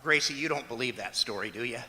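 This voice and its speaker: A man playing a wry, long-suffering husband, the hesitant deadpan straight man in an old-time radio comedy on stage. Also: medium pitch. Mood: patient, incredulous. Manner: deadpan, halting with hesitant uhs. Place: performing arts center auditorium, live stage. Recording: echoey auditorium stage hall.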